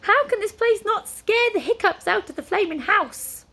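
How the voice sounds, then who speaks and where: Storybook wizard, male, played by a woman: pompous and flustered, very high voice, formal turns of phrase. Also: expressive, moderate pace, pushed and bright, exaggerated voice. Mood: panicked. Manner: hesitant, formal, exclamatory.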